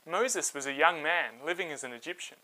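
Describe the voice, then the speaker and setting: A man hosting a story time, telling a scripted children's Bible tale in a dramatic, expressive way. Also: on the high side, brisk, faint music behind, low-quality recording. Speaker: storyteller and host.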